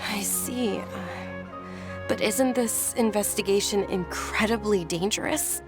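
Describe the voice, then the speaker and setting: A woman playing a gentle, refined young noblewoman in a video game, polite, caring and hesitant. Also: medium pitch, unhurried, over loud music, lightly acted. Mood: worried, caring.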